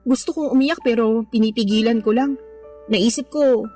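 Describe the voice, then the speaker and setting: A woman's voice: on the high side, moderate pace, dark tone, soft background music, lightly acted. An actress playing an emotional young girlfriend in a radio drama, defensive and pleading by turns. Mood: confiding.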